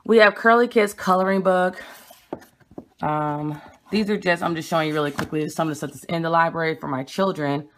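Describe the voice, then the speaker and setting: A young woman with a low voice speaks into a phone held at arm's length in a small room at home. She talks bluntly and with emphasis, hurrying through some lines and slowing down to press her points. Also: steady tone, a little hiss or hum. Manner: emphatic, blunt and quick-talking. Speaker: vlogger. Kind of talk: casual home vlog showing books. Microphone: phone camera held at arm's length.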